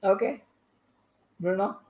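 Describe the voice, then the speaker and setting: A woman moderates a panel discussion in a conversational style. Her pitch sits in a middle range. She feels her way through sentences, often pausing and repeating phrases. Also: dark tone, muffled recording. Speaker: podcast host moderating a panel.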